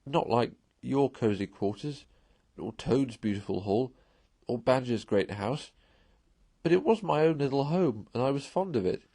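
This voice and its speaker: A man's voice: medium pitch, lively intonation, unhurried, vocal fry, mellow, no room sound. A man voicing a timid, homesick storybook mole who pleads in a broken, sobbing way. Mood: tearful, homesick.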